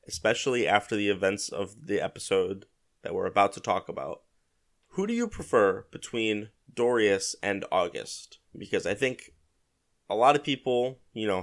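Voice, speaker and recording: A man with a fairly low voice, a little stuffed up with a head cold. He talks casually as a solo podcast host commenting on a video game, working through his opinions aloud and often softening them. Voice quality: slightly congested, stuffy. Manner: hedging, conversational, thinking aloud.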